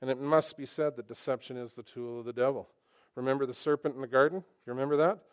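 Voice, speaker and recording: A man preaching a sermon to a congregation on a microphone, animated and conversational. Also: medium pitch, fast, clean tone. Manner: animated, conversational, with emphatic asides.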